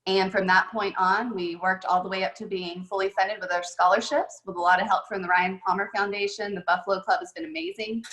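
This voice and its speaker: A woman's voice: mid-range voice, a little fast, hardly any pauses, fairly bright tone. A woman head golf coach telling stories in a casual, chatty way with many fillers, over a video call. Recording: echoey room on a video call.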